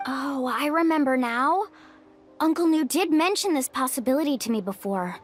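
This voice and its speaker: A woman's voice: sits high, expressive, moderate pace, soft background music. A woman playing a friendly, upbeat young tribeswoman adventurer in a video game, talking casually and thoughtfully. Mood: realizing, pleased.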